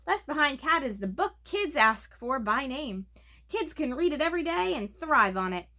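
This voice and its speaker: A woman's voice: high-pitched, big pitch swings, moderate pace, voice pushed up, full character voice. A woman voicing an earnest, bold yet easily flustered eight-year-old girl in a storybook reading. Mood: performing, flustered. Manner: loud, clear declaiming when performing.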